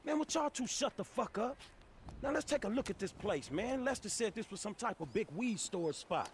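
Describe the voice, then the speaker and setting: Exasperated young American street hustler, game cutscene, profane slang, high animated delivery, faint ambience. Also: male voice, normal speed, a little hiss or hum. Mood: impatient. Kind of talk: video game cutscene acting.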